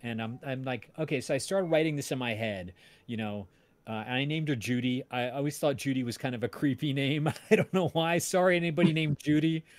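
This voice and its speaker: A man's voice: medium pitch, no room sound. Rambling man telling stories casually. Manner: rambling, halting, self-interrupting. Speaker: podcast creator and voice-drama maker. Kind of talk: casual storytelling on a livestream.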